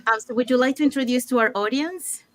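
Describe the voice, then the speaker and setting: A woman from Panama hosts an online talk show with a bright, forceful voice. Her delivery is informal and a little halting, and the sound is close and dry. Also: high voice, dry recording. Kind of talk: unscripted online interview hosting.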